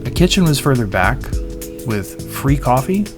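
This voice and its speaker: A man's voice: mid-range voice, big pitch swings, moderate pace. A middle-aged man reading a scripted voice-over as a pilot narrating an airport review. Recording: voice-over with music underneath. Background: background music.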